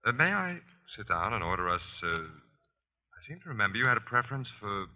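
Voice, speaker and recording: An actor playing a courtly, hesitant, ingratiating con artist in a 1940s radio drama. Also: male voice, on the low side, sing-song, moderate pace, sharp tone, heavily acted. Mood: suave charm. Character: smooth-talking male con artist feigning old acquaintance. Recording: tinny old 1940s radio drama recording, faint music.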